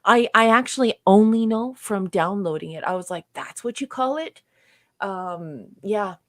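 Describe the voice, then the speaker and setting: A woman's voice: medium pitch, measured pace, no room sound. A woman from Canada with a Canadian accent, co-hosting a casual livestream chat, reading out viewer comments and laughing often. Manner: chatty, laughs often, reads chat aloud. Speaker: co-host.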